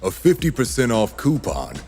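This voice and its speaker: An actor playing a gruff, crude, self-serious hitman in a comedic anime parody, with tough-guy swagger. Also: mid-range voice, wildly swooping pitch, normal speed, darkish tone, soft background music. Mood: deadpan, smug.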